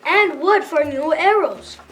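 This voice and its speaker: A baffled, plain-spoken fantasy archer, acted by a female with a very high, sharp voice. It is children's reader's theater on an amateur recording with some noise. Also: slow.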